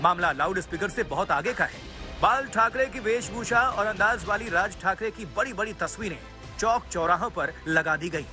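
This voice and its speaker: A man narrating a scripted television news report with a dramatic, punchy delivery. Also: high voice.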